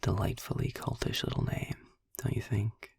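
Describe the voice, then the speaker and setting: A man playing a sardonic, darkly amused demon in an intimate audio roleplay, telling his tale in a breathy, gravelly near-whisper with wordy, deliberate phrasing and dramatic pauses. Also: a little mellow, dry recording, close to an everyday voice. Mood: wry, intrigued. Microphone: close microphone in a dry, quiet space.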